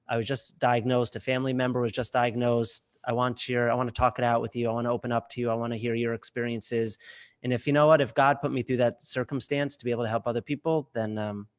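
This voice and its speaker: A man's voice: mid-range voice, little pitch movement, fast talker. A man in his thirties, a rabbi co-hosting a podcast, speaking sincerely and reflectively with hesitant fillers. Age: 30s. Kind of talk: unscripted podcast discussion.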